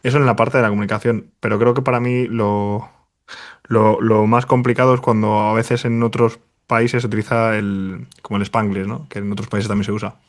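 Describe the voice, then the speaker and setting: A man's voice: fairly low pitch, level tone, moderate pace. A man on a casual podcast panel, explaining a point with examples.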